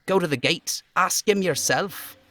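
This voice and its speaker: A man voicing an aggressive, sneering young street boy who swears and talks about himself in the third person in a high, strained voice, in a game. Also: a little slow, sharp tone. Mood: aggressive, scornful. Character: foul-mouthed aggressive bratty young street boy, Kuno.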